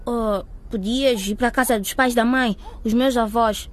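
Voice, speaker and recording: A woman's voice: a little high, steady tone, normal speed, close to an everyday voice. A young woman playing an earnest, worried schoolgirl daughter in a radio drama. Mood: earnest, urgent.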